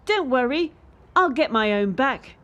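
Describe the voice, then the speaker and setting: High-pitched bitter young gymnast, acted by a woman. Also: expressive, unhurried, fairly bright tone. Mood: bitter, wary. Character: bitter, defiant young female elite gymnast under pressure. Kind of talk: radio play acting.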